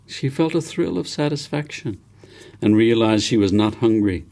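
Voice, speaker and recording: A man reading his own novel aloud as an audiobook, in a measured, gentle storytelling delivery. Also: medium pitch, animated, creaky.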